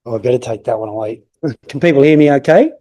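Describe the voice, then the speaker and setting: Older aussie guy giving a club talk, big booming voice, echoey room. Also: lively intonation, fast, mellow. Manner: booming, projecting voice. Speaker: guest speaker. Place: meeting room. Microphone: unreliable handheld mic, then unamplified.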